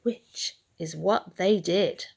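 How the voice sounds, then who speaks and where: Female storybook reader, slow and theatrical, with creaky edges, for bedtime tales. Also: on the low side. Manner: dramatic, expressive, deliberately paced. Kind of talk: animated children's bedtime story reading.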